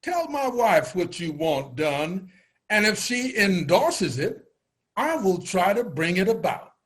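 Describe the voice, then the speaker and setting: A man's voice: sits high, very animated, slow, a bit bright, exaggerated voice. A man reciting historical quotations in a reader's theater production.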